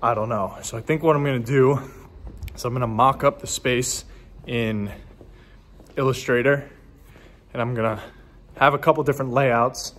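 A man's voice: medium pitch, a bit bright, slight background noise. A young man in his twenties filming himself with a phone at arm's length in an empty warehouse, thinking aloud with fillers and restarts. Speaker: small business owner vlogging. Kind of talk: casual vlog narration. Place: empty warehouse and office, indoors. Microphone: phone camera held at arm's length.

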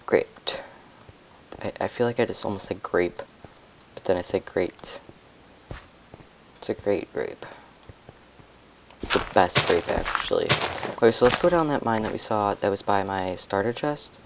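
A young man with a hoarse voice, chatting and rambling to viewers as he comments on his own gameplay. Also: lowish voice, lively intonation, measured pace, long pauses, noisy background. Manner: chatty, rambling, talks to viewers while playing.

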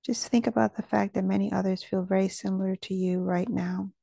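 A woman guiding a meditation in a gentle, calming manner. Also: medium pitch, fairly quick, vocal fry, no room sound, studio quality. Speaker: meditation guide. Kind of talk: guided meditation narration.

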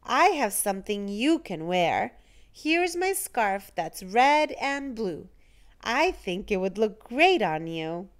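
A woman's voice: mid-range voice, sing-song, slow pace. A woman voicing helpful, eager young children in a storybook, exclaiming with emphasis. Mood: generous.

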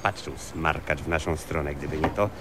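An actor playing a politely violent, brutish husband in a comedy radio play, folksy and matter-of-fact. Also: male voice, a bass voice, moderate pace, noisy background. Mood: threatening. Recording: radio comedy with audience behind.

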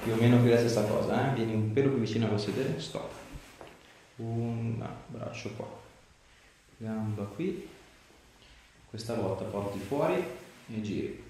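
A man's voice: lowish voice, unhurried, long pauses, dark tone. A man in his thirties, a chiropractor, giving a patient short, reassuring instructions during treatment. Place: small treatment room, indoors. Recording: very roomy, distant mic, faint noise.

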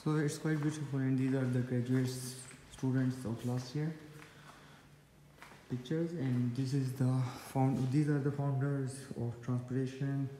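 A man in his twenties with a Pakistani accent, talking haltingly and casually as a student vlogger. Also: mid-range voice, level tone, mellow. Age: 20s. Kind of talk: casual vlog narration and interviewing. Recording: echoey rooms, faint background noise.

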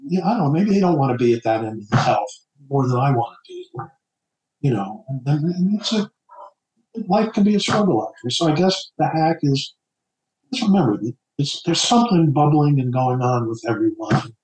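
A middle-aged man from New Jersey, an author guest on a casual podcast, talking in a halting way with many stammered repeats. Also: mid-range voice, expressive, darkish tone. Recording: echoey room.

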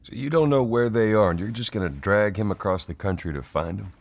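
A man acting a gruff, distrustful middle-aged American survivor in video game dialogue, terse and guarded. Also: fairly low pitch, fairly quick, slight background noise, close to an everyday voice. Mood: skeptical.

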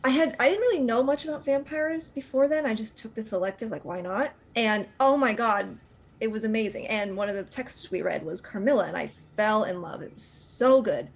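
Enthusiastic young actress in a casual interview. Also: female voice, fairly high pitch, animated, moderate pace, creaky. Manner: gushing, enthusiastic. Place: indoors on a group video call. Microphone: video call.

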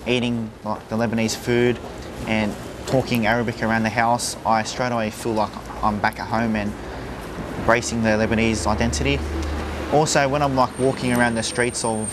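Young man, casual and rambling, street traffic clearly behind. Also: medium pitch, flat delivery, normal speed. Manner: casual, many fillers. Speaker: interviewee. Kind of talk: casual interview.

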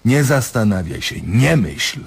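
Husky, mocking diabolical doctor. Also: male voice, medium pitch, sing-song, measured pace, full character voice. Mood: taunting, commanding. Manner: sardonic, domineering, drawling for emphasis. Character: diabolical, mocking male doctor toying with his patient. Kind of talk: radio drama acting.

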